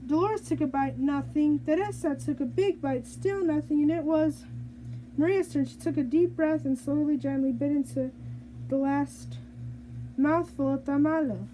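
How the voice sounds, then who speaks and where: A woman reading a children's picture book aloud in a halting way, stumbling and rereading phrases. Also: sits high, mellow, a little hiss or hum, poor audio. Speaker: storybook reader.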